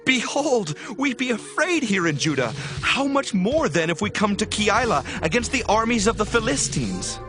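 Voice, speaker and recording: A man's voice: high-pitched, very animated, normal speed, long pauses, a bit bright, soft background music. An actor playing a frightened fighting man in a dramatized audio Bible, anxiously protesting to his leader. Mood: afraid. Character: frightened soldier follower voicing doubts.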